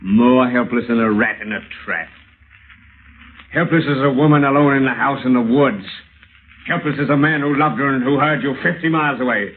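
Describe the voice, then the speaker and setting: Radio thriller villain: male actor as obsessed, educated avenger, deliberate theatrical delivery, mid-range voice, tinny scratchy recording. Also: mid-range voice, natural voice. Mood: cold menace. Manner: deliberate, theatrical, well-spoken. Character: vengeful husband, educated lawyer, coolly menacing and obsessed. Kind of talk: old-time radio thriller acting.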